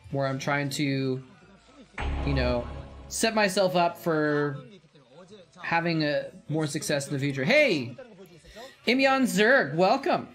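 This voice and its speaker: A man hosting a live stream, chatting casually and reacting excitedly to the game he is watching. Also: a little high. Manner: chatty, rambling, gets excited and laughs at the action.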